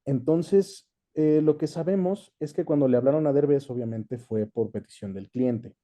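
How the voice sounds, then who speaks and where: A Mexican man talks as a guest in a casual interview. His voice is very dark and mellow at a medium pitch, and he explains things in a measured, conversational way. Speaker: interview guest, voice actor and dubbing director.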